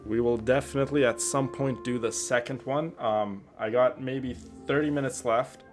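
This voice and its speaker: A man's voice: mid-range voice, steady tone, normal speed. A man streaming a video game, chatting casually and earnestly with his viewers. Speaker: streamer and content creator. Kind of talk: casual game livestream chat. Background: game music.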